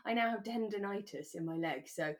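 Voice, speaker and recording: A woman co-hosting a podcast, talking in a relaxed, earnest and reassuring way. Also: medium pitch, fairly quick. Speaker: podcast co-host. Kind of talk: relaxed discussion of a radio drama.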